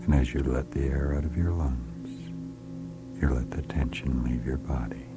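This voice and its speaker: Male relaxation guide, very low voice, slow hushed delivery with whispered stretches, music underneath. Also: fairly even intonation. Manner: soft, hushed, often sliding into a whisper; slow, soothing, repetitive commands.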